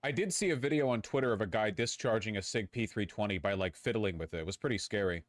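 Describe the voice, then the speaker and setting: A man's voice: lowish voice, quick pace. A man streaming casually, thinking aloud as he chats. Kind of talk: casual livestream chat.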